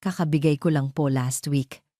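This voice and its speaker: A timid young heroine from a romantic audio story, performed by a woman narrator. She sounds polite, weak and hesitant, in a low, dry-recorded voice. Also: steady tone, moderate pace, dark tone, no room sound, natural voice. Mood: weak, pleading.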